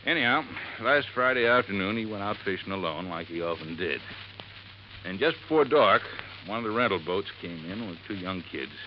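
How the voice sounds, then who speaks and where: A folksy, rambling desert resort handyman in a 1950s radio drama, his voice sitting very low. He drops his g's and spins his story at his own pace. The recording is muffled, with a faint hiss underneath. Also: expressive, normal speed. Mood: earnest, folksy.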